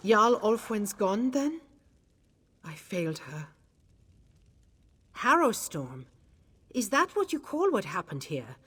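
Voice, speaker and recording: A breathy woman's voice with expressive rises and falls, a learned court mage in a fantasy game. She speaks slowly, loyal and thoughtful but shaken. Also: mid-range voice, heavily acted. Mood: shaken, sorrowful. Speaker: court magister. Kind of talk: video game character acting.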